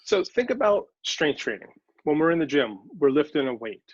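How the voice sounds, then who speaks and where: A man's voice: on the high side, big pitch swings, normal speed, breathy edge, dry recording. A man answering interview questions as an expert, explaining things patiently, step by step with examples. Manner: teacherly, walks through examples step by step. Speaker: sports scientist guest. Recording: dry, slightly dull audio.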